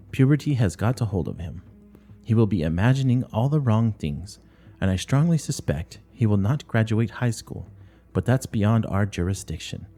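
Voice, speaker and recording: A man's voice: lowish voice, mellow. A man voicing a strict older nun who runs a school, speaking curtly and moralizing in a play reading. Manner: curt, severe, moralizing.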